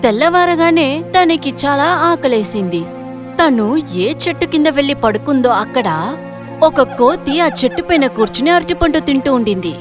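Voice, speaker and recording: A woman's voice: high voice, lively intonation, normal speed, music in the background. A woman narrator reading a scripted moral story.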